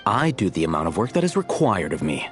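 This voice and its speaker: An actor playing an aloof, blunt, coolly logical young man in a video game, terse and formal with a deadpan manner. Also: deep voice, fast talker, music in the background. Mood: dry, composed.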